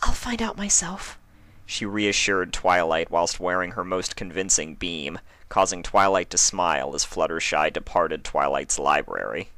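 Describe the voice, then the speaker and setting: A man reading a scripted story as narrator, with dramatic, deliberate delivery. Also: sits low, bright, forceful tone, dry recording. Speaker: fan fiction reader and narrator.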